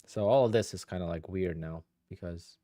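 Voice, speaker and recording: Man in his thirties from Poland, low voice, casual rambling livestream chat, dry close recording. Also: moderate pace, no echo. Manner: relaxed, rambling, thinks aloud with restarts. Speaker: day trader streaming live.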